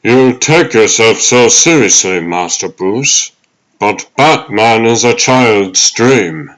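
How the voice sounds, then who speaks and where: A man voicing the ghost of an old butler, fatherly, earnest and deliberate, in a dramatic comic dub. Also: on the low side, fairly bright tone, rough recording.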